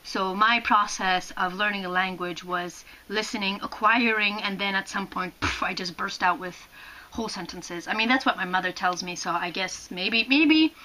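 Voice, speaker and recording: A young Polish woman with a crisp, bright tone speaks English with a slight Canadian lilt. She chats casually into a camera from a small room, gesturing a lot and often repeating words as she restarts sentences. The voice sits at an ordinary pitch for a woman. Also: moderate pace, a little hiss or hum, low-quality recording. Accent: Canadian-tinged English. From Poland. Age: young adult. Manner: animated, gesturing, frequent restarts and laughs. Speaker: vlogger. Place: small room indoors, sitting on a bed.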